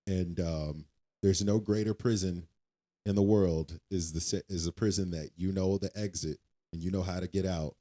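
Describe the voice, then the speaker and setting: A man's voice: low voice, steady tone, normal speed, dark tone. A man co-hosting a casual faith-based podcast, talking in a reflective way that repeats and trails off. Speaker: podcast co-host.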